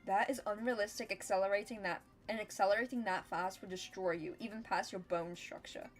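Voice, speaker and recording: A woman voicing a serious teenage girl with a hoarse voice from a cold, flat and irritated. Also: medium pitch, brisk, faint music behind, natural voice.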